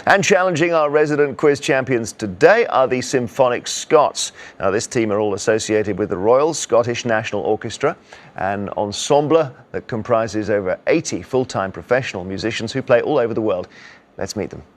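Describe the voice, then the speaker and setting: A man's voice: mid-range voice, moderate pace. A man hosting a television quiz show in a studio, reading questions and teasing the contestants in a playful, upbeat way. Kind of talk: scripted game show presenting.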